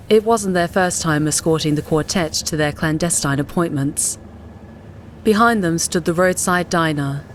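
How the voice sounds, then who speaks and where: A woman's voice: on the low side, slight background noise. A woman reading scripted fiction as an audiobook narrator. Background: faint music.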